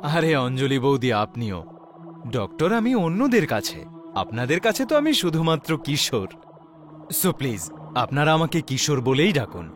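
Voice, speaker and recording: An actor playing a polite, modest doctor in a dubbed story drama. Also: male voice, mid-range voice, very animated, normal speed, faint music behind, lightly acted. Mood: modest, friendly. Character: polite, modest male doctor visiting an old friend.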